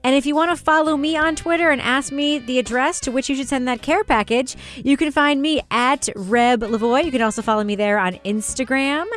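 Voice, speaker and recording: A woman's voice: high voice, a little fast, few pauses. A woman hosting a casual chat show, teasing her companions and running through announcements in a playful way. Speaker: podcast host.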